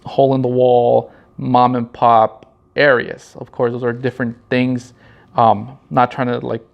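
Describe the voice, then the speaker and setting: A man from Los Angeles County talks casually and reflectively about himself, halting often and repeating his phrases. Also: mid-range voice. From Los Angeles County, California. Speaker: vlog host and marketing director. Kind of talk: casual personal monologue, storytelling. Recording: slightly dull, lo-fi sound.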